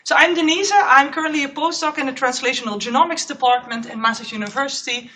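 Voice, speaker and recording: Loud, bright-voiced woman researcher. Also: sits high, fast talker, distant mic, slight background noise. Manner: loud, chatty, self-aware. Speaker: postdoc researcher presenting. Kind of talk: conference talk. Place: lecture room. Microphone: clip-on microphone.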